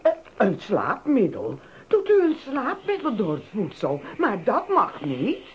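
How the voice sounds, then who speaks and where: An actress playing a suspicious, easily scandalised kitchen helper in a radio drama. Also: female voice, mid-range voice, wildly swooping pitch, a little slow. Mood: suspicious, shocked. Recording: muffled, slightly noisy radio recording.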